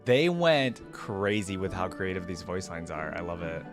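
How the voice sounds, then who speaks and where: Low-voiced man giving casual reaction commentary. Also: moderate pace, music in the background. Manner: informal, conversational, musing aloud. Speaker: host of a reaction channel.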